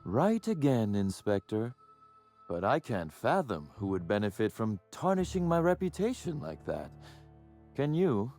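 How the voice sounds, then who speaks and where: An actor playing a glib, self-assured suspect in a video game, speaking in a wry, sardonic, conversational way. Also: male voice, medium pitch, sing-song, measured pace, darkish tone, soft background music. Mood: smooth, knowing.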